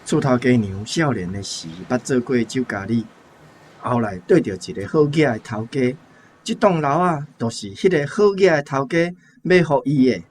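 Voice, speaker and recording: A man's voice: mid-range voice, expressive, normal speed, slight background noise, lightly acted. A Taiwanese man playing a good-humoured, chatty sign-shop boss in a radio drama. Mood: gossipy.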